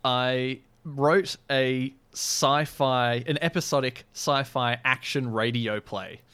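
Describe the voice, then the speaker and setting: A man with a medium-pitched voice chats casually as a podcast co-host. He is wry and rambling, often feeling his way through a thought. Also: steady tone, slow, fairly bright tone, clear, resonant tone. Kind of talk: casual chat.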